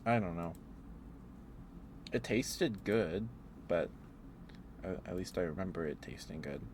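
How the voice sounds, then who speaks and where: Low-pitched man rambling on an ASMR stream. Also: background noise. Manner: rambling, halting, giggly. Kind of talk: rambling ASMR chat with personal anecdotes.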